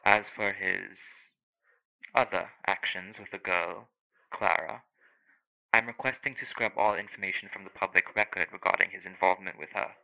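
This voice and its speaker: A man's voice: sits low, fairly even intonation, normal speed, vocal fry, sharp tone, exaggerated voice, poor audio. A man playing a composed secret agent, giving formal, clipped reports in an audio drama. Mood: businesslike. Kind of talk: audio roleplay acting.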